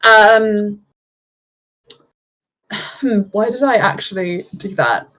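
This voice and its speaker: A young woman running a tabletop game as game master, chatty and quick to laugh, often breaking off mid-sentence. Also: mid-range voice, fairly bright tone, poor audio.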